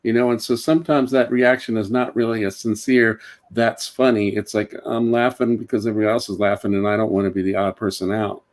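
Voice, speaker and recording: A man talking casually as a comedian giving advice, repeating phrases haltingly as he explains. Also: lowish voice, fairly even intonation.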